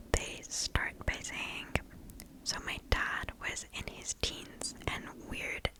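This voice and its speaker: A woman whispering breathily close to the microphone, reading stories aloud in a hushed, deliberate ASMR style. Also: rough recording. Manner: slow, hushed, deliberate storytelling.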